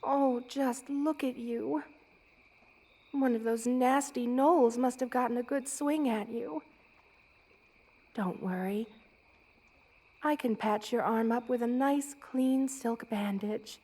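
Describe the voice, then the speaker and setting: A woman playing a motherly, regal spider queen who is gentle and protective, in a tender ASMR roleplay. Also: fairly high pitch. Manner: tender, cooing, doting, with regal commands.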